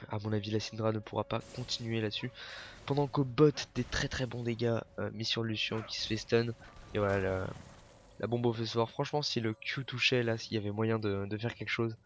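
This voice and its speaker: Young guy with a cold casting a game solo, lots of euhs and corrections, lowish voice. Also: steady tone, brisk, a little hiss or hum. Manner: hesitant, many fillers and self-corrections. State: has a cold, coughing. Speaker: esports caster.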